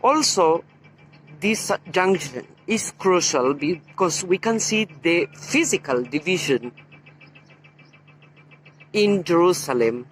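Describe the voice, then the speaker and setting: A middle-aged woman from Argentina with an Argentine Spanish accent, guiding a walking tour outdoors and slowly pointing out sights. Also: lowish voice, animated, low-quality recording. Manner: slow, explanatory, points out sights. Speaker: tour guide. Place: outdoors on city streets and a tram. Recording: loud street and traffic noise.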